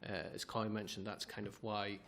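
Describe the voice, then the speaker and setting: A man's voice: on the low side, level tone, brisk, vocal fry, a bit bright, a little hiss or hum. A man giving evidence at a committee hearing in a casual way, full of "like" and "kind of". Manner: casual, many fillers like "like" and "kind of". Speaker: committee witness.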